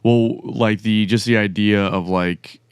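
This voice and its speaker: Deep, flat-voiced man musing. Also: clean tone, no room sound, studio quality. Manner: halting, reflective, many restarts. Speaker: podcast host, musician. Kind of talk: casual podcast chat.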